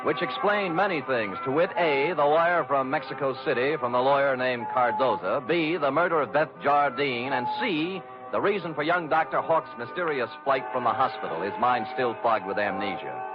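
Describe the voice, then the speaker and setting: An actor playing a sardonic, deadpan private detective in a 1940s-style radio noir drama, narrating in the first person. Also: male voice, mid-range voice, moderate pace, hardly any pauses, close to an everyday voice. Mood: wry narration. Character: wry, hard-boiled male private detective. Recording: dull vintage radio recording with music.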